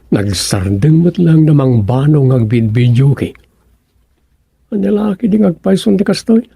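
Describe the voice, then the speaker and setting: A woman with an Ilocano accent playing a flustered local on the phone in a radio comedy drama, with a creaky, husky voice. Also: low voice, expressive, measured pace, warm tone, dry recording, exaggerated voice. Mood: puzzled.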